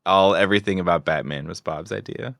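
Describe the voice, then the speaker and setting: A man's voice: deep voice, brisk, vocal fry, fairly bright tone, no echo, studio quality. A man co-hosting a podcast in casual chat, describing and reacting in everyday words. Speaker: podcast co-host.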